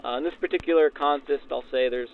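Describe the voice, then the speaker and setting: A man's voice: mid-range voice, fast talker. A man talking casually and explaining in an interview, filtered like a 1940s radio broadcast. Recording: phone-like vintage radio, faint train noise.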